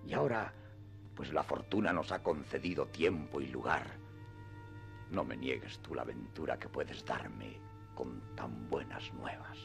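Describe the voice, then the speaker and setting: Man playing proud old knight, very deep voice, slow lofty archaic speech, radio drama, music underneath. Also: creaky, heavily acted. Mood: solemn. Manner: grandiloquent, solemn archaic speech. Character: proud, quick-tempered elderly knight-errant, lofty master. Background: background music.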